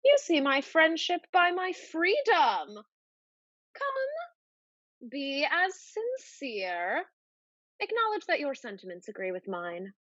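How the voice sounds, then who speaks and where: A woman acting a proud, scheming society lady in a Restoration comedy, with a theatrical, deliberate delivery. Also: high voice, huge pitch swings, fairly bright tone, no room sound, highs cut off, full character voice. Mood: sly, probing.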